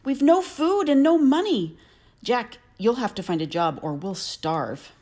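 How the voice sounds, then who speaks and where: A woman voicing a caring storybook mother who gently gives instructions. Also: fairly high pitch, lively intonation, a little slow, pitched above the performer's own voice. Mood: worried.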